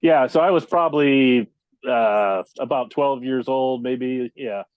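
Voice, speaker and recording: A man's voice: mid-range voice, a little slow, clear, resonant tone, dry recording. Middle-aged man reminiscing, dull lo-fi sound. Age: 50s. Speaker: interview guest, audio electronics technician. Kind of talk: casual reminiscing in conversation. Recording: dull, lo-fi connection.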